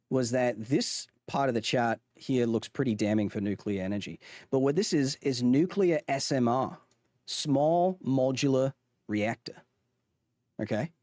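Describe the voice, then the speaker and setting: A man's voice: medium pitch, darkish tone, dry recording, studio quality. A man streaming to his chat, putting on a mock Australian accent as he rambles through a chart with lots of restarts. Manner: rambling, with frequent restarts and self-corrections. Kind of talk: casual livestream commentary on a chart.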